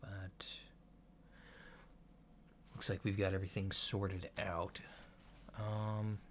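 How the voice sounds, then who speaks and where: A man acts out a courteous alien doctor for an ASMR checkup. The voice is soft and fairly low, moving very slowly through methodical questions with hesitant, reassuring pauses. Noise is clearly audible behind the lo-fi recording. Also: level tone. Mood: calm, reassuring. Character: polite, unhurried human-looking alien doctor giving a checkup. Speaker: ASMR performer. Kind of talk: soft-spoken ASMR roleplay.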